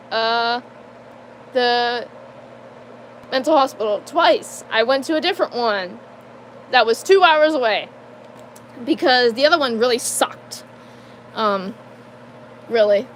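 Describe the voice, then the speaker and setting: Slow, hesitant young woman vlogger. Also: a little high, fairly bright tone, slight background noise, rough recording. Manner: hesitant, halting, many fillers and restarts; nervous laughs between sentences. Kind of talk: casual personal life update.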